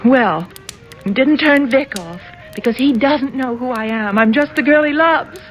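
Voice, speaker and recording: A defiant young heiress in a radio thriller. Her voice is fairly high, emotional and scornful. Faint music plays underneath. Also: unhurried, dull audio. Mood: bitter, pleading. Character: defiant, lovestruck runaway daughter of a powerful man. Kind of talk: radio drama acting.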